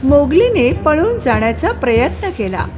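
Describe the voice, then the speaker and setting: A woman narrating a scripted children's story in an expressive storytelling style. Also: high-pitched, a little slow. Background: music.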